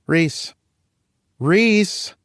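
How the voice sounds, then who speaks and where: A man voicing a brisk, teasing woman pilot giving instructions in an audiobook. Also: sits high, expressive, fairly bright tone, no echo, clean studio sound, voice pushed up, heavily acted. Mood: brisk, alert.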